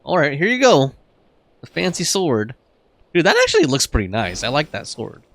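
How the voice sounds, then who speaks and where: A man's voice: fairly high pitch, huge pitch swings, moderate pace, gravelly, a bit bright. A man reacting casually to a video game as he plays, giving off-the-cuff commentary. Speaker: gamer commentating on a playthrough.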